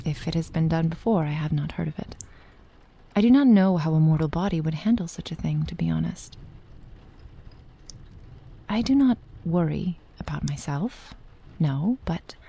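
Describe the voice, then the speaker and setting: A woman acts out an ancient witch in an intimate ASMR roleplay, her voice low and mellow. She speaks to her beloved apprentice in careful, old-fashioned phrases, tender yet possessive. The soft delivery often sinks into a breathy whisper, with some creak at the edges. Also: exaggerated voice. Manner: slow, formal archaic phrasing, deliberate pauses.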